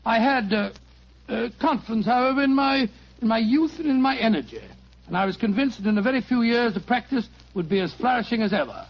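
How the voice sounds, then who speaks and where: An avuncular older English gentleman with a notably high voice. He tells his story fussily, doubling back on phrases with little hesitations. It is old radio acting, caught on a dull 1940s recording. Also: fairly quick. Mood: fond reminiscence.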